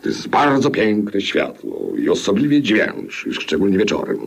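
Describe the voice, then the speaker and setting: A very low, husky male voice that swoops dramatically up and down. a grandiose, sly professor-inventor in an old radio play, confiding secrets with great self-importance. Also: huge pitch swings, normal speed, exaggerated voice. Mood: conspiratorial. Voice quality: gravelly, husky. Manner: grandiloquent, theatrical, mock-secretive. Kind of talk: 1950s grotesque radio play acting.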